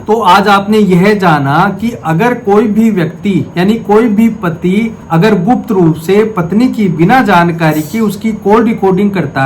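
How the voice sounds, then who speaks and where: A man's voice: high voice, slight background noise. A man giving a formal, methodical explanatory legal lecture, restating key points as he goes. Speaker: legal explainer, video host.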